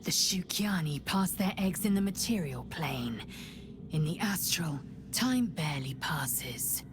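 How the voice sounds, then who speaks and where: A woman's voice: deep voice, expressive, measured pace, background noise. An actress playing a proud, disdainful alien warrior woman in a video game, speaking in formal, haughty declarations. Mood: matter-of-fact. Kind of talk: video game character acting.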